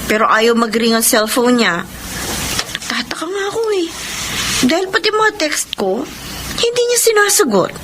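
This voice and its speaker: Emotional, high-voiced young woman. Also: moderate pace, long pauses, background noise. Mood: puzzled, concerned. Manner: emotional, expressive. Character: grieving, devoted young female friend of a dying woman. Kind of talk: Filipino radio drama acting.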